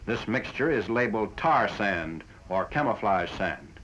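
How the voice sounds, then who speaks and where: A man's voice: fairly low pitch, animated, a bit bright. Male narrator for a 1940s war newsreel, factual and formal, on a lo-fi, slightly noisy vintage soundtrack. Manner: formal, factual, measured delivery.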